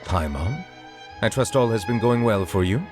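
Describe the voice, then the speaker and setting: An actor voices a courteous gentleman statesman from a video game. His voice sits fairly low, and he talks in a formal, deliberate way. Music plays behind him. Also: animated, lightly acted. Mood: courteous, solemn.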